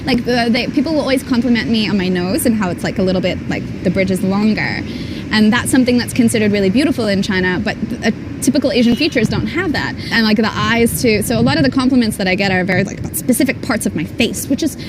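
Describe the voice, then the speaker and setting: Chatty woman over loud street traffic. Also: medium pitch, fast. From United States. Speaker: interviewee. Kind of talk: street interview answers. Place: busy city street outdoors.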